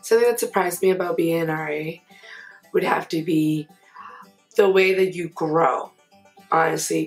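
Young woman, from Queens, low gravelly voice, candid interview talk, faint guitar music behind. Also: poor audio. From Queens, New York. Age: young adult. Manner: candid, talkative, with fillers like "honestly". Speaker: resident assistant, student. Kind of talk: casual interview answers. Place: indoors before a plain grey backdrop.

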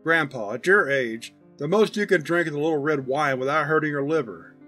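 A man's voice: on the high side, fairly quick, pushed and bright, faint music behind. A man voicing a concerned, hesitant adult grandson with an awkward, earnest, reserved manner in a story reading.

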